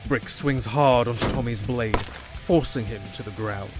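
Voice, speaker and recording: A man's voice: mid-range voice, moderate pace. A man delivering scripted narration for a fantasy audio drama. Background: music.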